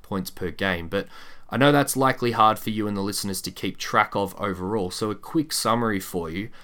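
A man hosting a sports podcast, chatting and reading out prepared stats in a measured, deliberate quizmaster way. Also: on the low side, pushed and bright.

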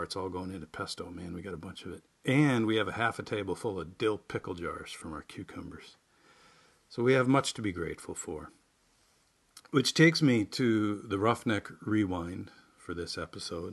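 A man hosting solo in a folksy, unhurried way, like a writer sharing his own work. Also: sits low, pushed and bright, breathy edge. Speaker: podcast host and writer. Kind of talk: casual monologue and reflective essay reading.

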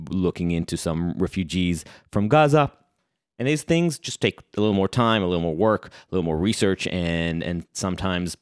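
A man's voice: deep voice, no room sound. A man with an Israeli accent co-hosting a podcast, talking casually and hesitantly with restarts and dry humor. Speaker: podcast co-host. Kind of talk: casual podcast chat and promo.

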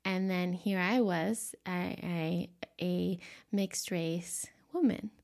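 A woman hosting her own podcast in a soft, quiet voice, a little stuffy and sniffly from allergies, talking gently with frequent ums and restarts. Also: on the low side, steady tone, slow pace, creaky. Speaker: podcast host and life coach. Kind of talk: solo podcast monologue.

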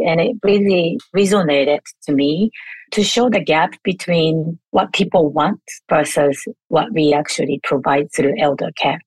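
A middle-aged woman from Japan with a Japanese accent, answering interview questions thoughtfully, pausing to search for words. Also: fairly low pitch, darkish tone, breathy edge, no echo, low-quality recording. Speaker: guest, elder care researcher.

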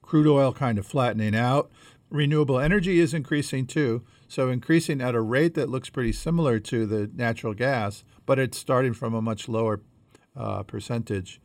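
A man co-hosting a conversational podcast, explaining things in a measured way as he thinks aloud. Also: mid-range voice, darkish tone, no echo. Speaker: podcast co-host.

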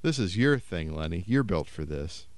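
A man reading aloud, voicing a wary, doubtful young man in close to his own low voice. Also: sing-song, moderate pace, no room sound.

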